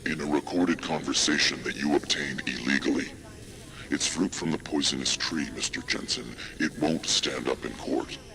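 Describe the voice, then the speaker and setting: An actor playing a measured, secretive video game informant, formal and deliberate with a gravelly voice. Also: male voice, fairly even intonation, bright, forceful tone, full character voice. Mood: measured, cautious. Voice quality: very deep, gravelly. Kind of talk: cinematic video game acting.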